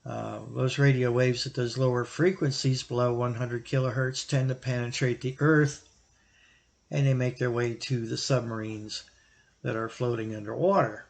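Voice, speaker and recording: A man giving an informal, explanatory product recommendation as a hobbyist reviewer, thinking aloud in halting, broken phrases. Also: mid-range voice, flat delivery, creaky. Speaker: YouTube radio hobbyist and reviewer.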